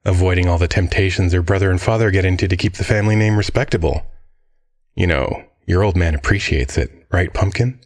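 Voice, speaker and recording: A man playing a swaggering, smug billionaire crime-boss father in an audio drama, with a husky, drawling, mock-affectionate delivery. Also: sits low, fairly quick. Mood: jovial, smug.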